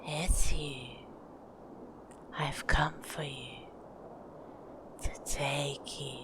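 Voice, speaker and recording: An actress playing a mysterious, cold woman guide in an audio drama, speaking in a terse, cryptic, breathy whisper. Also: sits low, flat delivery, very slow, background noise. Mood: eerie, cold.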